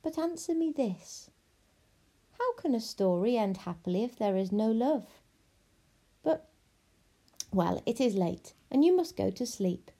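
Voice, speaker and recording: Old grandmother storyteller, read by a woman: stern, unhurried, soft mellow tone. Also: on the high side, animated. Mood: measured, solemn.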